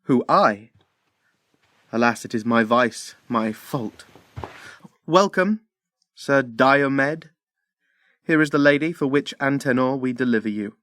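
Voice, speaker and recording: A man's voice: mid-range voice, slow pace, pauses often, a bit bright, no room sound, clean studio sound. A man playing an ardent, jealous young Trojan prince in love, delivering classical verse earnestly in an audio drama. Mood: resigned. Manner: earnest, declamatory.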